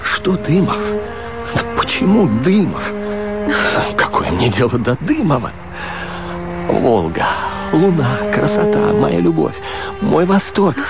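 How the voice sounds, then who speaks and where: An actor playing a passionate, impulsive artist suitor, pleading theatrically in a radio drama. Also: male voice, fairly high pitch. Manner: theatrical, swooping, ardent. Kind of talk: Russian radio drama acting. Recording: music bed under the voice.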